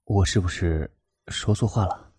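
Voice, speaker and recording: An actor playing a gentle, considerate male teacher in a radio drama. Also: deep voice, normal speed, husky. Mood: tentative. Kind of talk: Chinese radio drama acting. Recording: music behind the voice.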